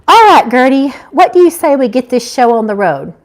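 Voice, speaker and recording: A woman's voice: high voice, sing-song, moderate pace. A woman voicing a cheeky, playful novice angel who is eager and teasing, in a storybook reading.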